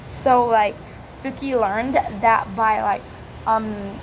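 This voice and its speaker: A woman with a hoarse, cracking voice giving an informal question-and-answer presentation, hesitant and full of filler words. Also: fairly high pitch, fairly even intonation, extremely slow, slight background noise, low-quality recording. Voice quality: croaky, cracking. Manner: hesitant, many filler likes. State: hoarse from yelling. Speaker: student presenter practising English speaking.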